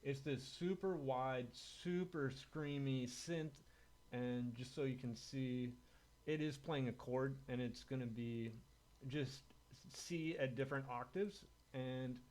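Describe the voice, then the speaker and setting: A man with an average-pitched voice gives an informal music tech demo. He talks in loose, laid-back bro slang with casual swearing, like a guitarist walking a friend through his sounds. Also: takes it slowly, a little mellow.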